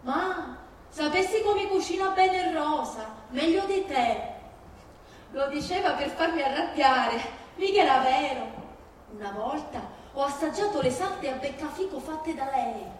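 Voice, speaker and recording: A woman's voice: sits high, lively intonation, unhurried. A woman acting a fierce, grieving older Sicilian mother in an emphatic, plaintive stage monologue, with a Sicilian accent. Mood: indignant pleading. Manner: emphatic, plaintive, repeating phrases. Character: fierce, grieving Sicilian mother disowning her criminal son. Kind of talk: dramatic theatrical monologue on stage. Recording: very echoey hall from far, faint noise.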